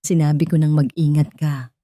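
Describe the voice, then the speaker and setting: A woman with a Filipino accent voicing a calm, serious man with hidden bitterness in an audio drama. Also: sits low, normal speed, no room sound, clean studio sound, lightly acted.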